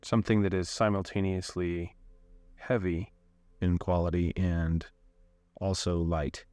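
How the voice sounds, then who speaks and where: A man leading a guided meditation in a calm, unhurried way, giving gentle instructions with long pauses. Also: deep voice, dry recording.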